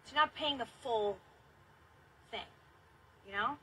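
A woman's voice: on the high side, measured pace, fairly bright tone, slightly rough, low-quality recording. A woman in her thirties chatting casually and bluntly, with plenty of swearing, on a livestream from a car. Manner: blunt, profane. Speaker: livestream host. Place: inside a car. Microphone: phone at arm's length. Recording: car interior with noise.

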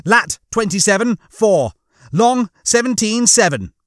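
A man's voice: high voice, animated, measured pace, a bit bright, no room sound, studio quality, pitched above the performer's own voice. A man voicing a pompous, prickly hologram officer in an audiobook, clipped and indignant. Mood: officious. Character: pompous, prickly male hologram, loudly showing off.

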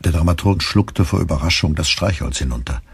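A man with a gravelly, husky voice reading wry literary narration in a German radio play. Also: rumbling low, brisk, dry recording, exaggerated voice. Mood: wry, measured.

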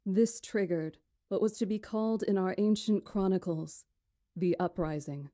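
A woman playing a stately magician in a visual novel, recounting history in a measured, formal, solemn way. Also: medium pitch, mellow, no room sound, studio quality, lightly acted. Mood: grave, formal. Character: stately female magician elder recounting ancient history to lords. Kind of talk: visual novel character acting, storytelling.

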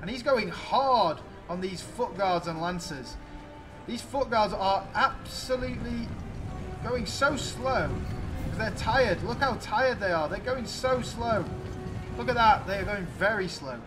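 A man with a high-pitched voice commentates a strategy game battle over music. He speaks in exclamatory play-by-play, talking straight to his viewers. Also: lively intonation, unhurried.

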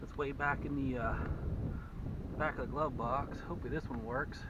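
A man's voice: medium pitch, moderate pace. Man narrating fishing from a boat. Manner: excited, talking to himself while handling fish. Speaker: fishing channel host. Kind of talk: casual fishing vlog narration. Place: small boat on an open lake outdoors. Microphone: action camera mounted on the boat. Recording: distant camera mic, dull sound, wind noise.

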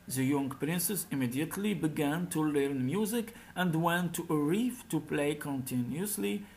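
A man narrating a scripted folk tale as a storyteller, reading aloud in a slightly halting way. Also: fairly high pitch, darkish tone.

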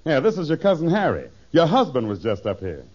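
Lively, polite businessman. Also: fairly high pitch, fairly quick. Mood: businesslike. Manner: animated, plain-spoken. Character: polite, chatty, businesslike man, someone's cousin. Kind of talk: 1950s radio sitcom acting. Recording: dull old radio recording.

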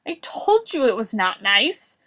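A woman's voice: fairly high pitch, big pitch swings, a little slow, pushed and bright, heavily acted. A woman voicing a naive, literal-minded male hoofed animal in a children's story, puzzled and blurting. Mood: stubborn.

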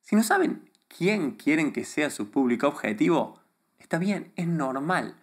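A confident Argentine man with a softened accent explains his ideas directly to camera, his voice rising and falling in a very animated way. He sounds emphatic and sure of himself, speaking in a small studio close to a desk microphone. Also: fairly high pitch, a little slow, husky. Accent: neutralized Argentine Spanish. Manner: confident, emphatic, gestures a lot with his hands. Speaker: YouTube creator. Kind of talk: direct-to-camera advice talk. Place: small studio room before a plain grey backdrop. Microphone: desk microphone in front of him.

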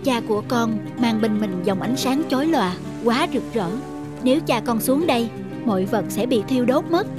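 A woman playing a gentle but firm mother in an animated myth, explaining patiently. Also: fairly high pitch, moderate pace, lightly acted. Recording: music behind the voice.

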